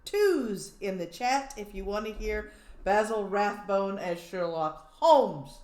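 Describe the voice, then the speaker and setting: A woman's voice: medium pitch, animated, slow, darkish tone. A woman hosting a stream, chatting casually and teasing the show she plays. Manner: wry, teasing, echoing lines back with laughs. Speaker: streamer hosting an old radio listen-along. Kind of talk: casual chat and heckling. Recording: echoey room.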